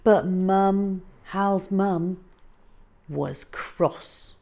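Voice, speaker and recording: A woman storyteller reading a picture book expressively, with a dramatic, drawn-out delivery for young children. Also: mid-range voice, gravelly, dark tone.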